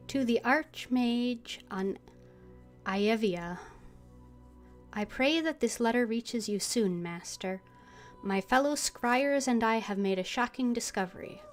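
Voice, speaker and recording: A woman streaming a game, reading dialogue and notes aloud in character with measured expression. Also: mid-range voice. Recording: faint music behind the voice.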